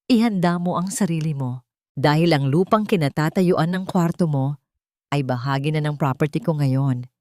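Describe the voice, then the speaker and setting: Woman voicing a cold, defiant wronged wife, low-pitched. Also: darkish tone, no echo, clean studio sound, lightly acted. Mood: commanding. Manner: cold, threatening, accusatory. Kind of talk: dramatic storybook dialogue reading.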